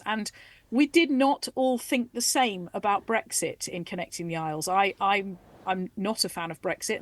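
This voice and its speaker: An animated, enthusiastic woman in her forties speaks as an interview guest in a dry, close studio. Her pitch sits mid-range for a woman, and she laughs easily as she talks. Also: dry recording. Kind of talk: radio interview, conversational. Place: radio studio.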